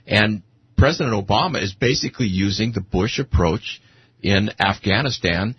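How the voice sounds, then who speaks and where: Very raspy American man challenging a guest. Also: fairly low pitch, moderate pace, no room sound, highs cut off. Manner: challenging, pointed questioning. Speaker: radio show co-host. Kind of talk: argumentative talk radio interview.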